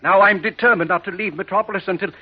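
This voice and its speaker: An actor playing an earnest, emphatic doctor and father in 1940s radio style, with a husky voice, on a dull old recording. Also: high-pitched, fast. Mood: indignant, resolute. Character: indignant, determined male doctor, father of a boy.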